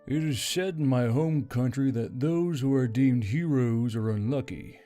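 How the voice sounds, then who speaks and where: A man voicing a solemn half-orc in a fantasy story, speaking in a measured way. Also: medium pitch, warm tone, natural voice. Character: Tarj, solemn muscled half-orc from another land. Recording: background music under the voice.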